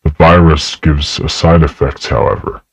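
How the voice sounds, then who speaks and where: A man playing a grave research director, narrating a horror case file in a formal, measured way. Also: extremely low pitch, little pitch movement, creaky, slightly rough, no room sound, heavily acted. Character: grave male research director logging a dangerous entity.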